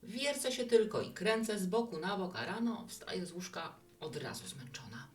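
A woman's voice: fairly low pitch, big pitch swings, moderate pace, recorded from a distance, faint music behind. An actress playing a chatty, romantic, hospitable woman who runs a bar in an audio drama. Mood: weary complaining. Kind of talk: Polish audio drama acting.

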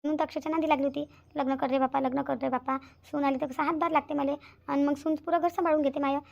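A woman's voice: sits high, level tone, quick pace, gravelly, darkish tone, lo-fi sound. A woman voicing a chatty, complaining middle-aged village mother in a cartoon, with a Varhadi Marathi accent. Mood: weary complaining. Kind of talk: cartoon voice acting.

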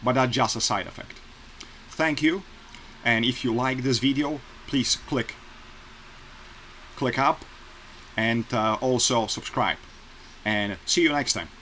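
A man from Poland speaks English with a Polish accent, earnest and plainspoken, pressing his points again and again. His voice sits at an ordinary male pitch. It comes through a webcam in a small bedroom with a faint hiss under it. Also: slow pace, pauses often, sharp tone. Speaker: vlogger. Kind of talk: casual opinion vlog to camera. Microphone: webcam close-up.

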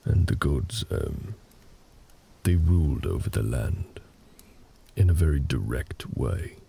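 Big tender orc husband, male actor for intimate comfort audio: extremely creaky low growl, unhurried, reassuring storytelling. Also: expressive, dark tone, full character voice. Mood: calm storytelling. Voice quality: very deep, gravelly. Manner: slow, hesitant, reassuring, conversational.

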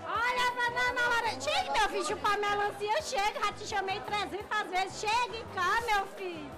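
A woman's voice: extremely high pitch, normal speed, music in the background, crowd noise. A woman fruit vendor calling out to customers at her market stall and answering a reporter. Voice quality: loud hawking shouts. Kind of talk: market hawking and interview answers. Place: open-air market stall.

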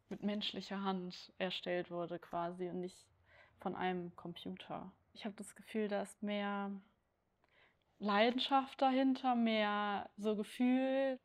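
Young woman, 19, earnest student interviewee. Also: medium pitch. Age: teens. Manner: earnest, many fillers. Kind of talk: casual interview talk.

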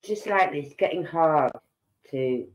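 A middle-aged man, slow and rambling as he hosts a livestream chat with a head cold and sore throat. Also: on the high side, animated. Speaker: livestream host. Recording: dull lo-fi sound.

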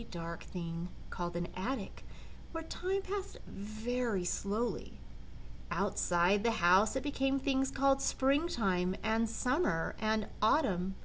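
An older woman, a grandmother, reading a children's storybook aloud with dramatic, deliberate phrasing. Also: fairly high pitch, a little hiss or hum, low-quality recording. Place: large living room at home.

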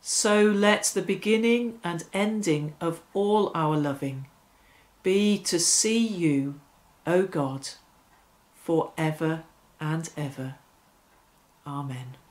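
A middle-aged woman leading devotional prayers and reflection in a deliberate, phrase-by-phrase way. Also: low voice, low-quality recording. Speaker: prayer leader. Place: small domestic room, seated on a sofa.

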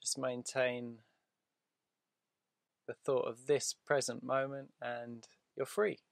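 A male meditation guide speaks very slowly and evenly, with long pauses between short phrases. His soothing voice has a gravelly fry to it and is recorded close and dry. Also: medium pitch, level tone, no room sound. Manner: soothing, deliberate, with drawn-out pauses.

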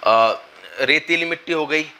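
A young man with a slightly hoarse voice from a cold, giving a whiteboard lecture to exam students on a lapel mic, explaining step by step with frequent check-ins. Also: fairly high pitch, a little slow, pushed and bright. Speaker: exam coaching teacher. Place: classroom at a whiteboard, indoors.

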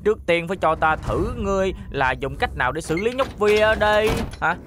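A man's voice: high-pitched, expressive, brisk, noisy background. A man voicing an eager, fawning villain's sidekick with exaggerated delivery in a dubbed children's cartoon. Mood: doubtful. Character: fat male villain's henchman, eager but doubtful sidekick.

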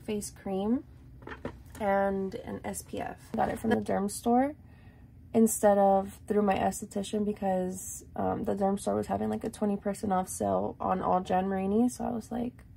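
A young woman talks to her camera in a loose, rambling way, full of likes and ums. Her voice is raspy and gravelly, with a dark, mellow tone. She sounds close to a desk camera in a small room. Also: medium pitch, slight background noise. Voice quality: raspy, dry morning voice. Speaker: vlogger. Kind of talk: casual chat to camera.

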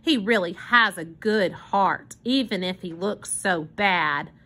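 A woman's voice: mid-range voice, takes it slowly, pushed and bright. A woman voicing an earnest, polite and hopeful little girl in a storybook, with an eager, pleading manner.

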